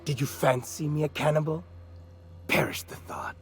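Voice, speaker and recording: An actor playing a pompous, menacing meat tycoon and killer in a video game, florid and mock-polite, dropping into breathy whispers. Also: male voice, a little high, big pitch swings, normal speed, faint music behind. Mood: sly, menacing. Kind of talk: video game character acting.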